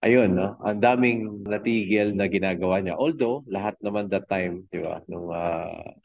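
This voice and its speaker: Middle-aged Filipino male interview guest, lower register, casual halting storytelling, dry but phone-like narrow audio. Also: gravelly, no echo. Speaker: podcast guest, fitness coach. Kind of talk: casual conversational storytelling in Taglish. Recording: tinny phone-like connection.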